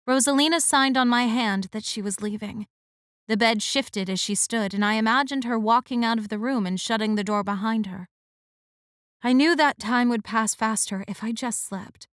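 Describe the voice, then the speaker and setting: A woman with a fairly high voice narrates a first-person romance audiobook, reading at a measured, expressive pace. The sound is dry and close, with no room around it. Her delivery stays steady and story-focused. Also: no room sound.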